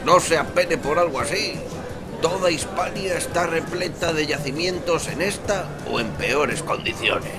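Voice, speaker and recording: A man's voice: on the high side, measured pace, lightly acted. Theatrical old-school professor acted by a man, music behind. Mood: paternal. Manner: theatrical, pedantic, sprinkles Latin. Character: learned, ironic male archaeology professor, paternal mentor. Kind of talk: radio soap opera acting.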